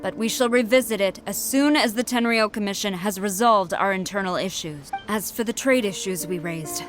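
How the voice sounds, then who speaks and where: A woman's voice: mid-range voice, moderate pace, lightly acted. Woman playing a stern general over faint music. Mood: formal, composed. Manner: formal, commanding, clipped. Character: stern, dutiful female military general. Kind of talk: video game voice acting.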